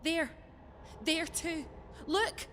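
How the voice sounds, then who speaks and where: A woman's voice: very high-pitched, expressive, very slow, fairly bright tone, slight background noise, exaggerated voice. Steadfast woman rebel, reverberant. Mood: excited wonder. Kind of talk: fiction podcast audio drama acting.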